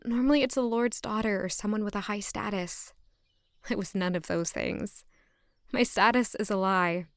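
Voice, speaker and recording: A woman's voice: medium pitch, moderate pace, dry recording, lightly acted. A woman playing an earnest young lady-in-waiting with a secret past in an audio drama. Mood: reflective. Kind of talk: audio drama dialogue and inner monologue.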